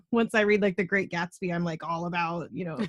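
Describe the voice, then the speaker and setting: A woman's voice: fairly low pitch, fairly even intonation, a little fast, no echo, lo-fi sound. A woman hosting a podcast interview in a casual, effusive, informal way, often saying "like" and "super". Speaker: podcast host interviewing a guest.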